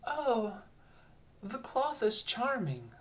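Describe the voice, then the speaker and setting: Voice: medium pitch, sing-song, very slow, fairly bright tone, slight background noise, pitched above the performer's own voice, full character voice. A reader voicing a vain, anxious emperor in a bedtime story, with grand, theatrical exclaiming. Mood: falsely delighted.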